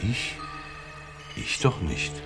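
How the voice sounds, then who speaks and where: An actor playing a smug East German secret police officer in radio drama dialogue, measured, insinuating and officious. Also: male voice, music in the background. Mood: menacing.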